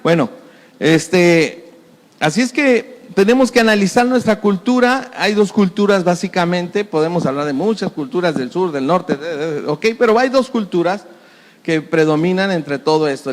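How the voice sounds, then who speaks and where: A middle-aged pastor preaches in a fairly high voice. He speaks conversationally and repeats himself often. The sound carries the long echo of a church hall. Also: lively intonation, normal speed. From Mexico. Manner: conversational, with frequent repetitions and folksy sayings. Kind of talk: sermon.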